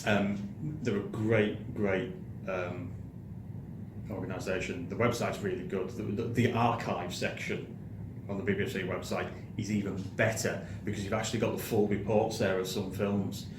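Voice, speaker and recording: A British man hosting a film review show in casual chat, speaking haltingly and often repeating his words. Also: fairly low pitch, little pitch movement. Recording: roomy echo, clearly audible background noise.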